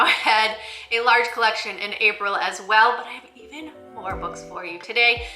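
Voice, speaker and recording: Female book-channel host, enthusiastic and expressive, fairly high voice, faint music behind. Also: reverberant. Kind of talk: scripted-from-notes book review vlog addressed to viewers.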